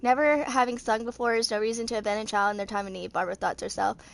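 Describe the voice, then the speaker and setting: A woman in her twenties reading story text aloud as an amateur video creator, haltingly, with stumbles and misreadings. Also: on the high side, fairly even intonation, vocal fry. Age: 20s.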